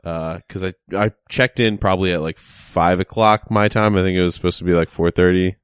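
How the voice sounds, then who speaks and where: Deep smooth-voiced man joking around. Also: fairly even intonation, dry recording, clean studio sound. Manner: joking, laid-back, drawn-out speech with many fillers. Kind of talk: casual chat.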